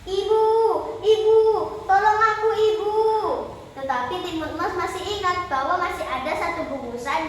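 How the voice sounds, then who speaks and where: A woman's voice: sits high, pushed and bright. A female storyteller reciting a folktale from memory in a careful, declamatory manner. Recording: echoey hall, distant mic, faint noise.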